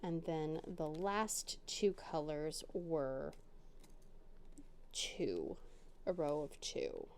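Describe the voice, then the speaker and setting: Middle-aged woman, casual crafting chatter at home, warm mellow tone, slow easy delivery. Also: sits low, animated, slight background noise. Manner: rambling, self-deprecating, chatty. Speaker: crafting channel host. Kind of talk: casual chat while crafting. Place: home dining room.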